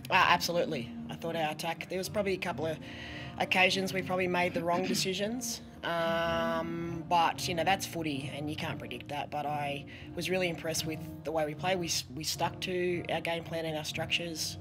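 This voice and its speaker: Australian woman, middle-aged coach, hoarse voice, earnest halting answers, desk mic at a press conference. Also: fairly low pitch, steady tone, over music. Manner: earnest, halting with many ums, a little hoarse. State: losing her voice. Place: press conference room with sponsor backdrop. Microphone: desk microphone.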